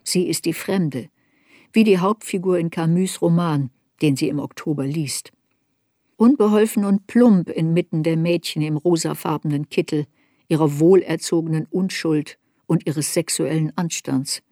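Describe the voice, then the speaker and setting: An actress playing a reflective woman looking back on a troubled youth, narrating a radio drama in a measured, introspective way. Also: deep voice, mellow, dry recording, studio quality. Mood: sober, reflective. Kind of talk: literary radio drama narration, first-person memoir. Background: music.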